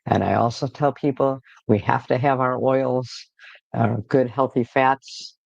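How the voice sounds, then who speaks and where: A man hosting a casual livestream, rambling in a relaxed way with frequent fillers and restarts, talking from home. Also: medium pitch, a little slow, vocal fry, no room sound, muffled recording. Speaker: livestream host.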